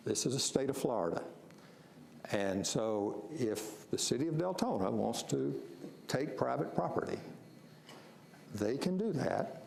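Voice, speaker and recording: Man, gravelly voice, firm explainer, meeting facilitator, echoey city room. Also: mid-range voice, big pitch swings, unhurried, long pauses, darkish tone, slight background noise. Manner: firm, explanatory, repeats words. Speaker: strategic planning facilitator.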